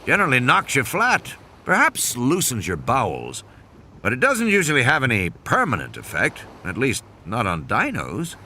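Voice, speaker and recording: Audiobook heavy: large, unflappable man, acted by a male narrator, dry menace, unhurried, lively inflection. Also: mid-range voice, slight background noise, exaggerated voice. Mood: cool menace. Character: large, impassive, coolly menacing tough man. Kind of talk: dramatic audiobook character reading.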